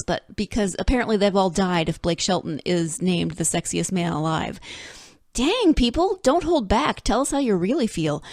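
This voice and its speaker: A woman's voice: on the low side, darkish tone. A woman hosts her own podcast in a chatty, upbeat way, stumbling over fillers and restarts as she goes. She sounds congested and a little snuffly, and her throat is slightly scratchy. The recording is close and dry, with no room echo. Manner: chatty and upbeat, with frequent fillers and self-corrections. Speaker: podcast host. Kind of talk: casual solo podcast monologue. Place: home in Northern California.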